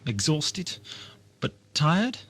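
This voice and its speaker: An actor playing an inquisitive, eccentric time-travelling doctor in a science fiction audio drama, musing aloud in a wry, theatrical way. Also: male voice, on the high side, very animated, extremely slow, a bit bright, full character voice. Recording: reverberant hall with music behind.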